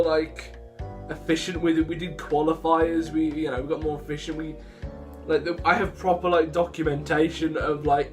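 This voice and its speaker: A young man with a slightly high voice chats casually over clear background music. He rambles and breaks off his own sentences as he vlogs to his viewers. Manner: rambling, self-interrupting. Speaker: vlogger. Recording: music behind the voice.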